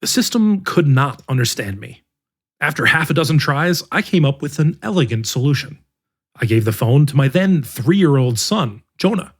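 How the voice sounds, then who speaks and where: A man narrating an article in the first person as the regular reader of a podcast, with a measured, expressive delivery. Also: a little high, slightly rough. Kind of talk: scripted narration.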